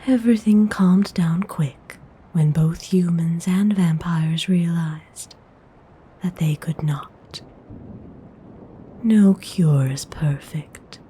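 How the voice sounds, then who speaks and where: A woman voicing a centuries-old, courteous and possessive female vampire noble in an intimate, formal ASMR roleplay, whispering in a husky, breathy voice. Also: on the low side, dark tone, slight background noise. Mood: musing. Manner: slow, measured, formal, intimate.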